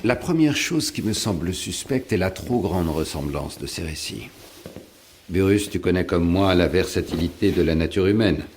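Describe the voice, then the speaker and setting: An actor playing a shrewd, commanding Roman prefect in a French audio drama, deliberate and suspicious. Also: male voice, low-pitched, expressive, a little mellow, a little hiss or hum. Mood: suspicious reasoning. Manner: deliberate, authoritative.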